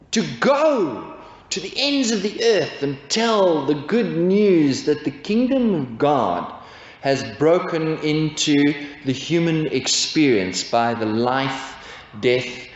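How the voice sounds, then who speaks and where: Slow-preaching man in an echoey church. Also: fairly high pitch. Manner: measured preaching with rhetorical questions. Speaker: pastor. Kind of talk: sermon. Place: church, indoors at a pulpit. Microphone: pulpit stand mic. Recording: echoey church, dull lo-fi sound.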